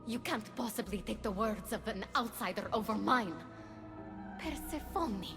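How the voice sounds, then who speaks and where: An actress playing a scheming goddess and false friend in a video game, speaking in a formal, insinuating, theatrical way. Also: female voice, a little high, animated, moderate pace. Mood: indignant. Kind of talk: dramatic video game acting. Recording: hall reverb, music behind.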